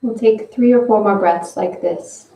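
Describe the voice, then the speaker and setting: A woman leading a guided breathing and stretching session, giving slow, gentle step-by-step instructions. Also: mid-range voice, vocal fry, recorded from a distance. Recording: roomy, from away from the mic.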